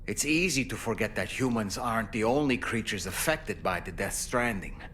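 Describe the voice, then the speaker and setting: A man's voice: fairly low pitch, expressive, moderate pace, fairly bright tone, a little hiss or hum. A man acting as a kindly, thoughtful counsellor in a video game, reassuring and articulate. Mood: consoling.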